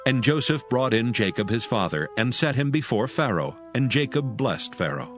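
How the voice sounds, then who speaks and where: A man's voice: mid-range voice, big pitch swings, moderate pace. A man narrating a dramatized scripture story from a script. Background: faint music.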